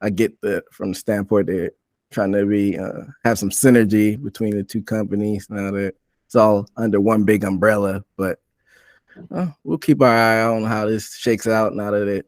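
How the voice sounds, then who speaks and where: A man's voice: fairly low pitch, steady tone, moderate pace, a little mellow, dry recording. A man hosting a sports podcast, talking casually through a recap and putting questions out for discussion. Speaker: podcast host.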